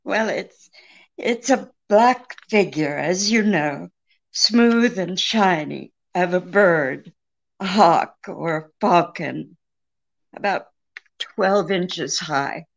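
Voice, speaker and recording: A woman acting an alluring, weary, deceitful femme fatale in a 1940s-style radio drama, pleading with dramatic pauses. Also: fairly low pitch, lively intonation, vocal fry, muffled recording, exaggerated voice. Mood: evasive.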